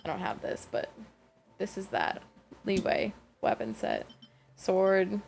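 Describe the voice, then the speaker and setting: A woman livestreaming a game with casual, rambling commentary, thinking aloud in a halting way and questioning herself. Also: mid-range voice, huge pitch swings, creaky, a little mellow, soft background music.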